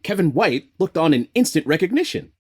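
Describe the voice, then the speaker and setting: A theatrical storyteller in an audio play about city politics. His voice sits fairly high for a man and moves with very lively intonation. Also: fairly quick, husky. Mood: wry storytelling. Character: animated male storyteller of Boston political history.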